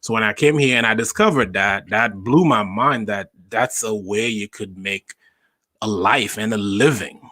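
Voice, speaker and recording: A young adult man from Haiti, a stand-up comedian telling his story in a casual interview, with animated emphasis. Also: medium pitch, no echo.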